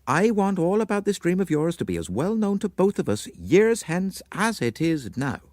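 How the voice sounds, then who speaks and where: A man's voice: sits high, big pitch swings, normal speed, a little mellow, no room sound, clean studio sound, pitched above the performer's own voice. A man voicing a firm, anxious, superstitious elderly mother in an audiobook story, urgent and commanding. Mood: firm urgency.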